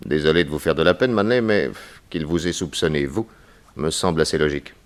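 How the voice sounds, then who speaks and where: An actor playing a shrewd, unflappable private detective, probing and dryly confident, in 1960s French radio drama style. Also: male voice, a bass voice, moderate pace.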